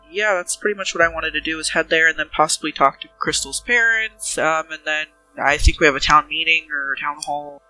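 Bright-voiced woman in a roleplaying game. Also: low-pitched, low-quality recording. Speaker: player in a tabletop roleplaying game. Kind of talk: improvised in-character game talk.

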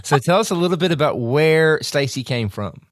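A man's voice: a little high, animated, moderate pace, no echo, clean studio sound. A man hosting an interview, asking curious, friendly questions. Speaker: podcast host. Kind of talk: conversational interview.

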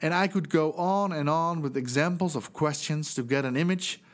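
A middle-aged man from the Netherlands with a Dutch accent, hosting a solo podcast and giving earnest, measured advice. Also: fairly high pitch. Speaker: podcast host, fundraising trainer. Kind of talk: scripted solo podcast talk.

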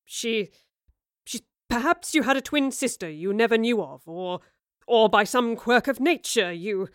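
A woman's voice: fairly high pitch, expressive, a little slow, smooth, no echo, clean studio sound. A woman voicing a pompous, hot-tempered male villain in a story, with haughty, declamatory delivery. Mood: falsely soothing, flustered. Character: raging, pompous male villain, theatrical and menacing.

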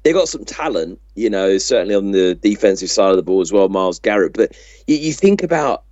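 A man's voice: on the low side, big pitch swings, a little fast, a little mellow, dry recording. A man co-hosting a sports podcast, giving casual analysis in a conversational way. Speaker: podcast co-host. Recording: slightly dull, muffled audio.

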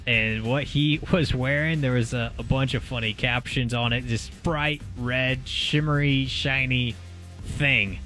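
A man's voice: mid-range voice, steady tone, measured pace, pushed and bright, faint music behind. A man co-hosting a casual sports radio show, setting up topics and questions. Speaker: sports radio guest host. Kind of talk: casual sports talk, posing topics to a co-host.

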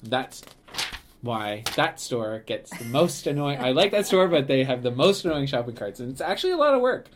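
A man's voice: medium pitch, very animated, moderate pace, noisy background. A man co-hosting a podcast in Canada, talking in a chatty, rambling way with lots of fillers, restarts and laughs. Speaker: podcast co-host, museum staff. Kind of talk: casual podcast chat.

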